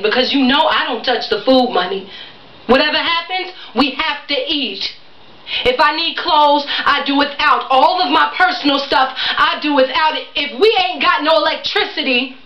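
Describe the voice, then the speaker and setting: A woman performing a theatrical monologue as an exasperated young mother, emphatic and indignant as she pleads with her partner. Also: high voice. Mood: hurt, exasperated. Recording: echoey room, distant mic, muffled.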